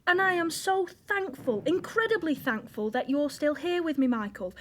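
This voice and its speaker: An actress playing a frustrated young wife from wartime northern England in an audio drama, blunt and emotional, with a Northern English accent. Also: female voice, high-pitched, lively intonation, moderate pace, a bit bright, a little hiss or hum. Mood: hurt, indignant.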